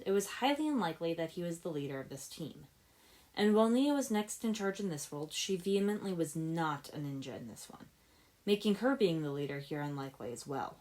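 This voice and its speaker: A woman with a low voice reads fiction aloud. She delivers scripted narration as a storyteller, carrying both description and character dialogue. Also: darkish tone. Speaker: fan fiction narrator, podfic reader.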